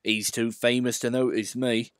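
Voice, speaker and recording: A man's voice: mid-range voice, flat delivery, normal speed, no room sound, lightly acted. Man voicing a shy eager little engine, polite, dry close recording. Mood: earnest. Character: small earnest shy male tank engine.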